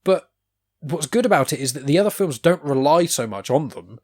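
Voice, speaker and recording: A man chatting on a podcast, rambling and animated, with quick asides and casual swearing. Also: on the high side. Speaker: podcast co-host. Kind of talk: casual chat.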